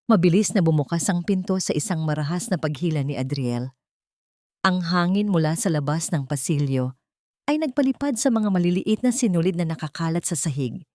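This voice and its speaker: Woman, Filipino accent, lower-pitched voice, dramatic audiobook narration, close dry recording. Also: expressive, a little fast, mellow, no room sound. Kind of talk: dramatic scripted story narration.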